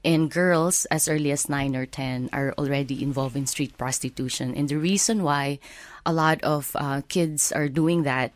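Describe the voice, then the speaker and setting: A woman from the Philippines with a Filipino accent, a guest earnestly telling her life story with many uh and um pauses. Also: sits low, no echo.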